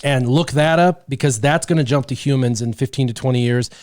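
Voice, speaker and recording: A man's voice: mid-range voice, brisk, darkish tone. A man hosting a casual podcast chat, talking into a close studio mic with lots of fillers and laughs. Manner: chatty, laughing, rambling with fillers. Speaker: podcast host.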